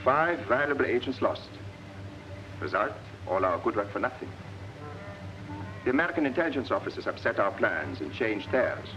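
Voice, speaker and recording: An actor playing a gloating, contemptuous Nazi agent who narrates a 1940s propaganda film in a clipped, imperious manner. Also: male voice, lowish voice, very animated, moderate pace, long pauses, background noise. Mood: urgent. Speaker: narrator of a military training film. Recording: muffled 1940s film soundtrack, music behind.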